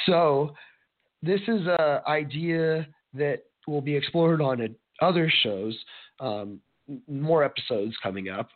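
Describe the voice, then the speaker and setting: A man hosting a casual solo podcast, rambling and searching for words, his voice a little raspy from allergies. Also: mid-range voice. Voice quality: slightly raspy. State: allergy-affected voice. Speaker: podcast host. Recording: narrow phone-like sound.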